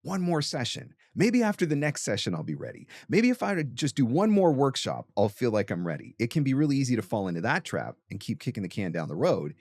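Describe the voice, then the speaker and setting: A man's voice: medium pitch, big pitch swings, fast talker, hardly any pauses, darkish tone, no echo. A man hosting a talk and giving conversational coaching advice, emphatic and repeating phrases for stress. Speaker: host and voiceover coach answering a question.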